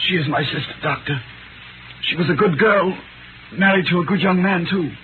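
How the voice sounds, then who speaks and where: A man's voice: high voice, normal speed, close to an everyday voice. An actor in a 1930s radio drama playing a bitter, proud, dying peasant boy of seventeen, weak and earnest. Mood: pained, bitter. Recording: tinny, noisy 1938 radio broadcast.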